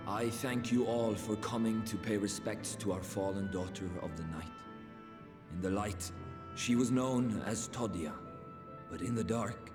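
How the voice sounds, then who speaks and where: A solemn fantasy game village leader, played by a man, grave and ceremonial. His voice is lowish and carries long reverb, with clear music behind it. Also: lightly acted. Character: solemn male village leader leading a funeral rite. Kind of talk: scripted fantasy game dialogue.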